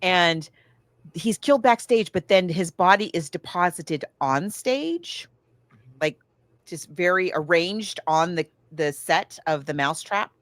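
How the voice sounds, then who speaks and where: A woman's voice: lowish voice, unhurried, a bit bright, no echo. A woman co-hosting a podcast, chatting casually about film in an emphatic, conversational way. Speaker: podcast co-host. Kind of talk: casual film discussion, recapping a plot.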